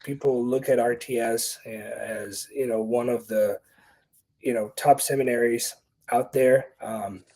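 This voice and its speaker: Male podcast host, mellow voice, mid pitch, friendly questioner. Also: fairly even intonation, measured pace, rough recording. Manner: friendly, affirming, asks follow-up questions. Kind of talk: conversational interview.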